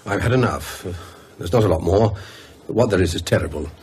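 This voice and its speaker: An actor playing a weary, sceptical professor in a radio drama, dry and sardonic in manner. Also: male voice, deep voice, lively intonation, brisk, a little mellow, breathy edge, exaggerated voice. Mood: wry, resigned. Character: weary, sceptical male academic, dry and exasperated.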